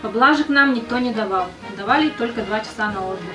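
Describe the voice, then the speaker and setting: A woman's voice: a little high, quick pace, pushed and bright. A woman in an audio play acting a practical, dutiful young female soldier with a matter-of-fact delivery. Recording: roomy echo, music behind.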